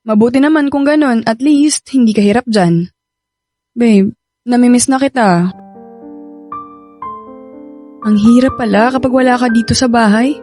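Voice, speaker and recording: Radio drama casting: actress as young expectant mother, Filipino accent, higher voice, affectionate, trusting, caring, over soft music. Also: normal speed, long pauses, lightly acted. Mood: tender, caring. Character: young pregnant live-in partner, sweet, trusting, caring.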